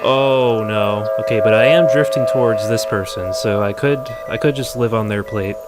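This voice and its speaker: Male gamer, low pitch, casual play-by-play chatter, loud music behind. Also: normal speed. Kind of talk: casual running gameplay commentary.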